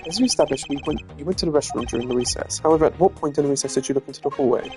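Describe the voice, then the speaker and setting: A man voicing a composed, dry-witted young prosecutor in a courtroom video game, formal, measured and sardonic. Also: a little high, over music, close to an everyday voice. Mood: thoughtful. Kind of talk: game character voice-acting.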